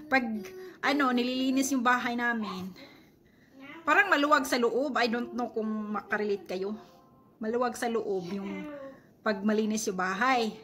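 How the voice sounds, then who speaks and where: A chatty woman from the Philippines with a Visayan accent and a high voice talks casually to her camera in her living room. She is in her forties and laughs often. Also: moderate pace, a bit bright. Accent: Visayan Filipino. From Bohol, Philippines. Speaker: vlogger. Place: home living room, indoors. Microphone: camera at arm's length, then on a stand.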